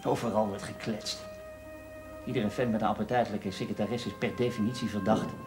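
An actor playing a brisk, possessive boss in a naturalistic television drama, with a direct, businesslike way of talking. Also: male voice, fairly low pitch, steady tone, over music, lightly acted. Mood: insistent.

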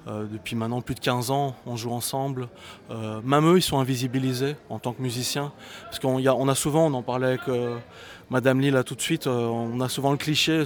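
Reflective man. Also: mid-range voice. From Brussels, Belgium. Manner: reflective, with frequent hesitations. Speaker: musician guest. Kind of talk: interview answer.

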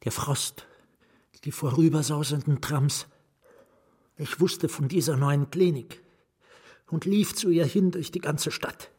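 An actor playing a melancholy asylum patient in a radio drama, confiding in short, broken, wistful phrases. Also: male voice, on the high side, slow pace, pauses often, a little mellow, husky. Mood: agitated, remembering.

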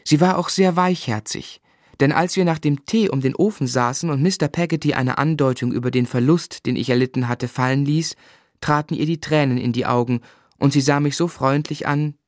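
An actor playing a grown man gently and wistfully recounting his boyhood as a measured radio drama narrator. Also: fairly high pitch, no room sound, studio quality, close to an everyday voice. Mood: tender. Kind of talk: literary first-person narration in radio drama.

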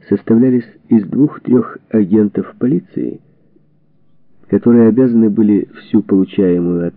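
A man reading a historical book aloud as an audiobook narrator, with measured, expressive storytelling and deliberate phrasing. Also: lowish voice, no echo. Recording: dull phone-like narrow-band sound, dry.

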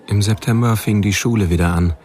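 A dry memoirist narrates a radio play, acted by a man. His voice sits low, and he tells of misfit youth bluntly and sardonically. Music is clearly heard behind him. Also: brisk, close to an everyday voice. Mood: dry, sardonic. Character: male narrator drily recalling his misfit, provocative teenage years. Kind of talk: scripted first-person radio drama narration.

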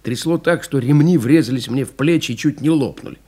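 An actor playing a rugged, proud aviator telling of his ordeal in a restrained, intense dramatic monologue with a husky voice. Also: mid-range voice, fairly quick, dark tone, close to an everyday voice. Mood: tense. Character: rugged surviving male aviator recounting his mountain ordeal, proud and plainspoken. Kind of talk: dramatic first-person monologue in an audio play.

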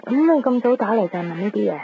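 A woman's voice: medium pitch, rapid-fire, gravelly, slight background noise, close to an everyday voice. Actress as sleepy, coy young woman, muffled sound. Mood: drowsy, coy. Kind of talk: radio drama dialogue. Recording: dull radio recording.

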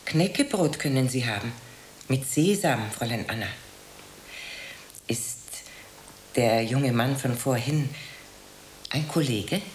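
An older, lonely landlady in a radio play, with a very low voice and animated intonation. She is opinionated and matter-of-fact, handing out sayings, with slight hiss behind her. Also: slow, pauses often, full character voice. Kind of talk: radio drama acting. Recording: faint hiss.

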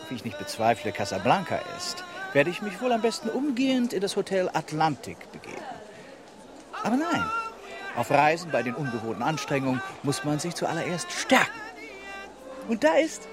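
A man acting a fussy, self-important, naive traveler in a radio play, with pompously formal phrasing. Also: fairly high pitch.

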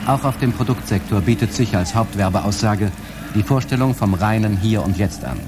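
An actor playing a coldly formal marketing analyst reading out a report in a radio drama. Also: male voice, on the low side, normal speed, heavy background noise, close to an everyday voice. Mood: clinical. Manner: clinical, formal.

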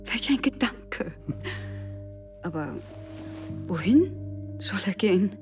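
An actress playing a devoted wife, gentle and coaxing, protective of her family, in a radio drama. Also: medium pitch, animated, takes it slowly, faint music behind. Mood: coaxing, anxious.

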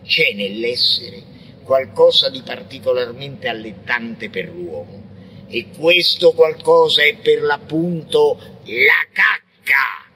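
A frenzied visionary poet in a theatrical radio monologue. The delivery is very slow and forceful, lurching from hushed whispers to shouted declamation, blasphemous and intense. There is faint noise behind the voice. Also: male voice, medium pitch, expressive, rough recording. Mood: grim, declaiming. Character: frenzied, blasphemous visionary poet ranting against God and man. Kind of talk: intense theatrical monologue, avant-garde poetic radio drama.

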